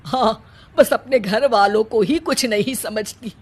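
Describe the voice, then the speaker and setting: An older cartoon mother-in-law, acted by a woman, fond but quick to reprove. The voice is fairly high and husky, with a motherly way of talking. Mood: exasperated. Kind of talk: Hindi cartoon story dialogue.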